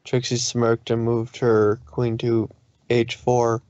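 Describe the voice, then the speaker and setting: A man's voice: mid-range voice, monotone, takes it slowly, darkish tone, no echo. Dry, slightly dull-sounding male story reader. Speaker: fan fiction reader. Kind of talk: expressive story narration.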